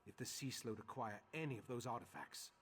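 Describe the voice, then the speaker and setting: A man's voice: mid-range voice, slightly rough, natural voice. Man acting a grave, formal order master. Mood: urgent, commanding. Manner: formal, measured, articulate. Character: composed elder male order master, grave and commanding. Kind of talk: video game dialogue acting.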